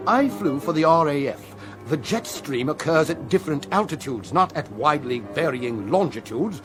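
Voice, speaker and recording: Pompous, insistent ex-military airline passenger, played by a man, higher-pitched and animated, radio drama with music. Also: normal speed, close to an everyday voice. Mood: indignant. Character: indignant, officious male former RAF flier among airline passengers.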